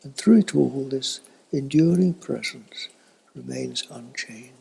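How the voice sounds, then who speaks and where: An elderly man giving a slow, reflective spiritual talk in a husky, breathy voice that often fades toward a whisper, with long thoughtful pauses. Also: medium pitch, dark tone. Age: older, elderly. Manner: contemplative, halting with long pauses. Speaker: spiritual teacher.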